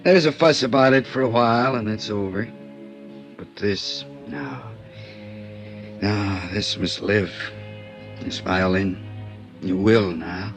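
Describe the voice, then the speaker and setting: An actor playing a bitter, drunken has-been violinist who confesses in a brooding way, in a 1950s radio drama. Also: male voice, on the low side, slow pace, pauses often. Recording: old radio broadcast with music.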